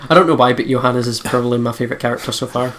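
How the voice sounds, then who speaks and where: Playful let's play commentator, mid-pitched voice. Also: racing, fairly bright tone, a little hiss or hum. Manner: playful, sweary, reactive. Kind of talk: casual gaming commentary.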